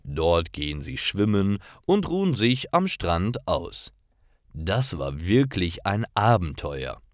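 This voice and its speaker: A man's voice: sits low, sing-song, takes it slowly, no echo, clean studio sound. A man reads simple stories for language learners in a carefully articulated, scripted narration. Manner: simple, carefully articulated read-aloud delivery.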